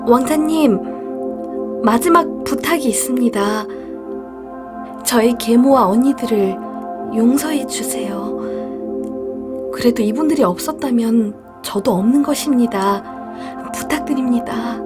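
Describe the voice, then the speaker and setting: A woman playing a gentle, polite young Cinderella, humble and forgiving, in acted storybook dialogue. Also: fairly high pitch, slow, long pauses, over music. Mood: pleading.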